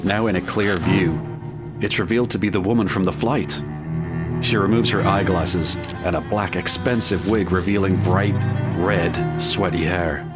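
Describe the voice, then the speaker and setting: A man's voice: deep voice, normal speed, loud music behind the voice. A man giving scripted narration for an audio drama.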